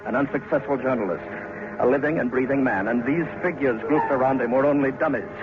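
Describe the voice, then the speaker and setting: Theatrical male horror narrator, tinny old radio. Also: medium pitch. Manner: theatrical, suspenseful, deliberate pauses. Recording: tinny, band-limited old radio recording. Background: music.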